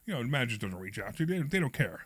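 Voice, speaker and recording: Hoarse philly-guy, talks fast, rambly host storytelling, dry close sound. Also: mid-range voice, no room sound. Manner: rambling, animated, repeats himself. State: hoarse, froggy voice. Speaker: radio show host. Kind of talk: casual storytelling chat.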